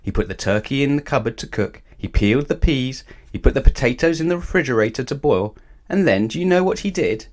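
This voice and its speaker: A man's voice: medium pitch, animated, brisk. A man reading a children's picture book aloud expressively, speaking directly to young listeners. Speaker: storyteller.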